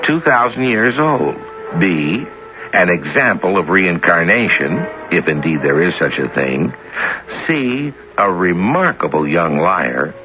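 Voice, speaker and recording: A deep-voiced man hosts an old radio drama in a slow, theatrical manner. His deliberate, teasing narration swings expressively in pitch, with music behind him on a dull recording. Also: expressive.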